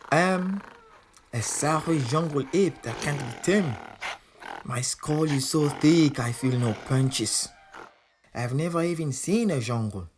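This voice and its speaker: A proud, volatile champion boxer. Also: male voice, fairly high pitch, a little hiss or hum. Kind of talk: audio drama acting with confiding first-person narration.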